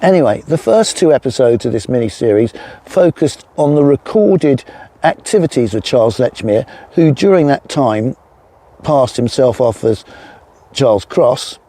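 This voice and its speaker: A man with a gravelly voice and a slight cold, presenting a history series in a measured, explanatory way with small asides. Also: mid-range voice, expressive, dark tone. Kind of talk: scripted true-crime history narration with asides.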